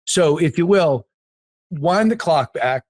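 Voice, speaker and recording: A man's voice: on the high side, animated, slow, darkish tone. A man speaking conversationally as the host of an interview podcast.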